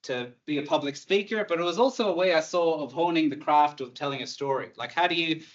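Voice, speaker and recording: A man's voice: a little high, quick pace, lo-fi sound. A man in his thirties with an Irish accent, a university lecturer giving an online talk in a conversational way, with light humor and many fillers. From Ireland.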